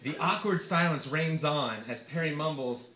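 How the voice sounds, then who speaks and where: A man's voice: on the high side, moderate pace. A man reading his comic essay aloud to a live audience in a theatrical, profane storytelling manner. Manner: theatrical comic delivery, swearing, mimicking quoted speakers. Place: live reading event in a bar, before an audience. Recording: echoey bar room, noisy live recording. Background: audience laughter, room noise.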